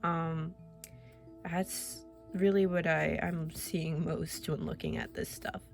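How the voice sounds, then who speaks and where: A woman's voice: sits low, steady tone, takes it slowly, vocal fry, soft background music. A young woman artist chatting casually and rambling, laughing often, getting over a cold. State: recovering from a cold. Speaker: artist vlogger. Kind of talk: casual chat.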